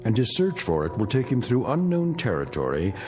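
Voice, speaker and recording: An actor as the narrator of an eerie mystery tale, delivering scripted lines in an ominous, portentous, deliberate manner. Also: male voice, medium pitch, huge pitch swings, a little mellow, soft background music.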